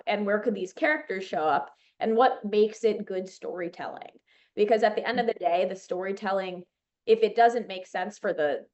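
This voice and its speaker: A woman's voice: medium pitch, lo-fi sound. A woman in her thirties with a Chicago accent, a company founder talking as a guest in an interview, chatty and enthusiastic with many fillers and restarts. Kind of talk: casual interview chat.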